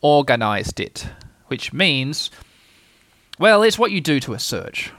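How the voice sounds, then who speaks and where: A self-important, organising rabbit from a storybook, voiced by a male reader. He gives instructions in an officious, explaining tone. The delivery is animated and recorded dry. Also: on the high side, takes it slowly, no room sound, full character voice. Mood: bossy.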